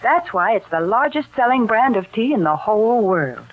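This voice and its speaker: A woman's voice: a little high, big pitch swings, normal speed. A woman playing a prim, cheery spokeswoman, mixing scolding banter and sales talk in 1940s radio style. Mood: chirpy, persuasive. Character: prim, squeamish, cheery female tea pitchwoman. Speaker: sponsor's spokeswoman and co-host. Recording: muffled old radio broadcast.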